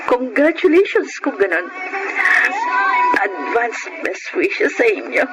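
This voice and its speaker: An actress playing a heartbroken, candid and excitable young Filipina in an emotive Filipino radio drama. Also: female voice, a little high, slow, music in the background, rough recording. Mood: stunned, hurt. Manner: emotive, exclamatory.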